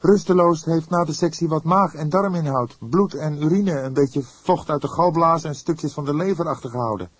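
A man's voice: fairly high pitch, moderate pace, hardly any pauses, slightly rough, no echo, pitched above the performer's own voice. A man playing a young police detective in an audiobook, an inquisitive and easily indignant colleague who speaks emphatically. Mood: weary, frank. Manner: emphatic, questioning. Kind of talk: audiobook character acting.

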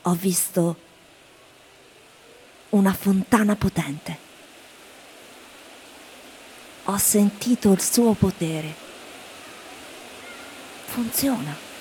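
An actress playing wry, plaintive tourists in a theatrical radio reading. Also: female voice, medium pitch, slow pace, long pauses, dark tone, slight background noise. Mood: solemn, wondering. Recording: music behind the voice. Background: music.

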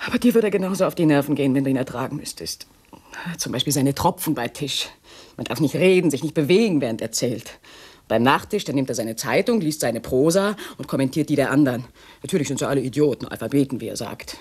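An actress playing an agitated, unhappy wife with a secret lover, complaining intensely in a 1960s radio play. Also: female voice, rumbling low, lively intonation, fast, darkish tone, slightly rough, heavily acted. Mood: exasperated complaining.

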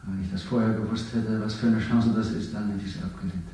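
An actor playing a worn-down stage actor under interrogation in a 1970s radio drama, defiant and bitter. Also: male voice, on the low side, fairly even intonation, quick pace, dark tone, far from the mic, lightly acted. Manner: defiant, then bitter and weary. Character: worn-down male actor who once played a minister. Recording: echoey room, voice off-mic.

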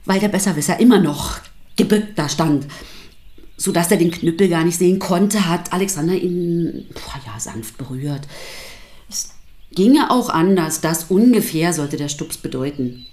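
An actress playing a defensive wife in a radio drama, with speech, articulate and given to dramatic pauses. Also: female voice, on the low side, expressive, darkish tone. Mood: grave, uneasy. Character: defensive wife recounting her husband's violent confession.

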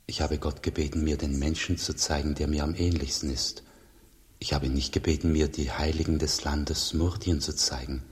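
Humble troubled monk, super deep grave voice, radio play with long echo. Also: male voice, steady tone, normal speed. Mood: earnest, insistent. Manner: earnest, grave, restrained. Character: humble, troubled wandering monk on a pious search. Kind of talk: radio drama acting.

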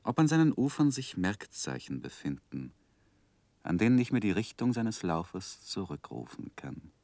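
Deep-voiced, reflective young man narrating. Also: sing-song, darkish tone, no room sound. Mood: musing. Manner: measured, literary, deliberate phrasing. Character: reflective, earnest young man recalling his youth. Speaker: first-person narrator. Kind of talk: 1960s German radio drama narration.

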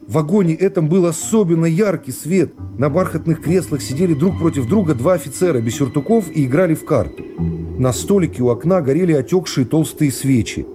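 A middle-aged man reading classic prose as an expressive dramatic narration for a radio serial. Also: on the high side, brisk. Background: music.

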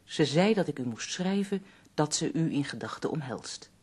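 An actress playing a polite woman who takes down a letter in a radio play, with a gentle, very husky and breathy voice. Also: low-pitched, expressive, normal speed. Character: polite woman visitor taking a letter by dictation.

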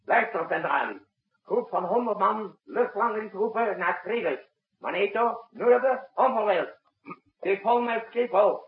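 An actor playing a brisk, commanding president who gives curt military orders in a 1960s Dutch radio drama. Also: male voice, high voice, lively intonation, heavily acted. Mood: urgent. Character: commanding, brisk male president directing a night-time military crisis. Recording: muffled, husky-sounding old radio broadcast recording.

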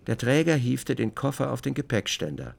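A man narrating a literary radio drama from a script, with expressive, descriptive storytelling. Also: medium pitch, moderate pace, vocal fry.

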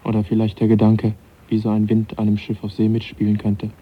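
A man acting in a German radio drama as a reserved, uneasy young gentleman naturalist, speaking in a sober, formal, dry way. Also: on the low side, monotone, a little fast, breathy edge. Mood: brooding, uneasy. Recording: dull, noisy 1980s radio drama.

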